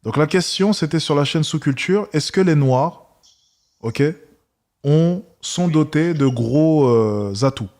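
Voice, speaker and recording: A man's voice: a little high, slow pace, darkish tone. A man hosting a live stream, reacting with hesitant, wry commentary full of restarts.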